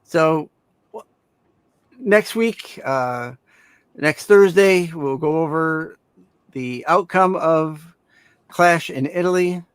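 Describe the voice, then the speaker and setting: A man chatting casually on a wrestling fan panel in a halting, easygoing way, often repeating himself. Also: a little high, clear, resonant tone, no echo. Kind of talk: casual chat.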